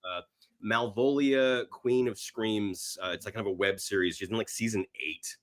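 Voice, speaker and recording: A man with a fairly low voice speaks as a guest in a relaxed interview. His delivery is conversational and unscripted, with a self-deprecating, joking streak. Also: normal speed. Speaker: podcast guest; actor and screenwriter. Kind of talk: casual interview chat.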